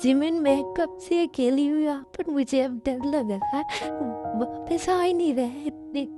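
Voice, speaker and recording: A woman's voice: sits high, over music, voice pushed up. A woman voicing a frightened young wife who stammers through tears. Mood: tearful. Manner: halting, sobbing, stammering.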